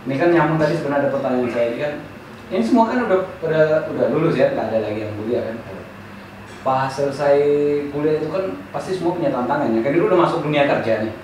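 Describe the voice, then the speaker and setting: Casual host in an echoey room. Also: male voice, medium pitch, lively intonation, fast talker, darkish tone, slight background noise. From eastern Indonesia. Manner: leading questions, frequent fillers and restarts. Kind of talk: casual group chat. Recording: echoey room, mic at a distance.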